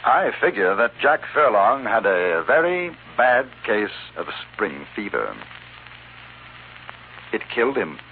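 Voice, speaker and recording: Wry, understated frontier reporter, animated pitch, on thin, noisy old radio. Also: male voice, slow pace, phone-quality sound, heavily acted. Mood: dry. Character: wry, composed English frontier reporter explaining a case. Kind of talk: 1950s radio western acting.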